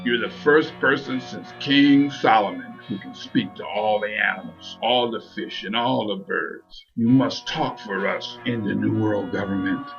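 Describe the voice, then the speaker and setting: Man as a slow, low, solemn spirit dolphin. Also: gravelly, fairly bright tone, muffled recording, soft background music.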